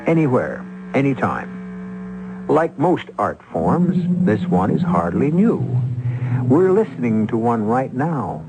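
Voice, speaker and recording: Male radio host, lowish voice, wry urbane narration, expressive swings, music behind. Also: rough recording. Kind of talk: scripted theatrical narration.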